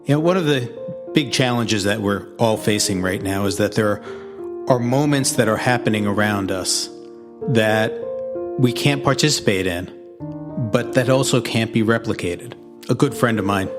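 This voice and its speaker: A man giving a prepared, reflective introduction as the author of an audio story, in a measured way. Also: on the low side. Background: clear music.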